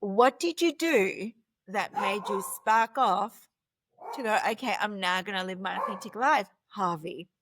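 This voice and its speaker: A woman's voice: medium pitch, lively intonation, measured pace, noisy background. Effusive Australian woman hosting an interview. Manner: effusive, enthusiastic. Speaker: podcast host. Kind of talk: casual interview conversation.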